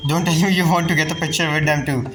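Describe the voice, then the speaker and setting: A woman with a low voice comments casually on a video game. She is joking and offhand in short reactions, with game music clearly playing behind her. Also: steady tone, quick pace, rough recording. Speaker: game streamer.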